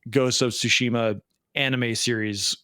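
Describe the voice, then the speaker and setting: A man's voice: mid-range voice, fairly even intonation, unhurried, sharp tone, dry recording. Wry man, dry close sound. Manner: sarcastic, wry. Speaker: podcast co-host. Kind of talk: casual pop culture chat.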